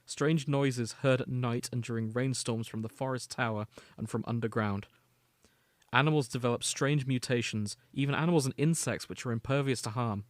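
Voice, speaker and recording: A man's voice: mid-range voice, moderate pace, no echo. Man reading a story, dry close recording. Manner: storytelling, alternating read-out prose with casual asides. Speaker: podcast host. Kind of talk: reading and summarizing fan fiction.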